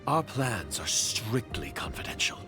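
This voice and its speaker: A man voicing a wary, scheming conspirator in a video game, formal, measured and condescending, with music behind him. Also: fairly low pitch, very animated. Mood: wary, disdainful. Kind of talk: video game character acting.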